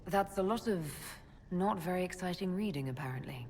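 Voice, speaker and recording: A woman's voice: on the low side, lively intonation, fairly quick, a little mellow, natural voice. A woman playing a composed, wry adventuring heroine in a fantasy game, with a dry, understated delivery. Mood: dry, calm. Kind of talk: fantasy game dialogue acting. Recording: reverberant hall with faint ambient noise.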